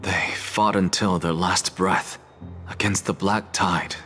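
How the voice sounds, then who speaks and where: Grave scholar, very low voice, slow measured delivery, solemn, composed, game drama, music underneath. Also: male voice, steady tone, sharp tone, full character voice. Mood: solemn, regretful. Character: grave, composed scholar and guardian, adult man. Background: clear background music.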